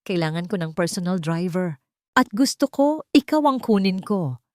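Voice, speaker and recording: A rich, reserved Filipino lady of the manor, acted by a woman narrator in an audio romance. She sounds composed and serious, with quiet authority and a fairly low voice in a dry recording. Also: expressive, moderate pace, dry recording, lightly acted. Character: wealthy, lonely, reserved lady of a mansion.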